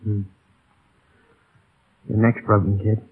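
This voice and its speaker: An actor playing a tough, gruff airline boss who hides his grief, in 1930s radio drama style with a husky voice. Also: on the low side, level tone, slow pace, heavily acted. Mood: subdued, gentle. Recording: dull old radio recording.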